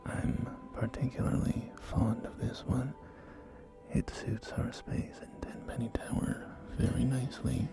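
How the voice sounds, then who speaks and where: A man's voice: mid-range voice, gravelly. A man whispering in an ASMR roleplay as a courteous, enthusiastic post-apocalyptic real estate agent, with genteel, hesitant sales patter. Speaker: roleplay performer. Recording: music behind close whispering. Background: background music.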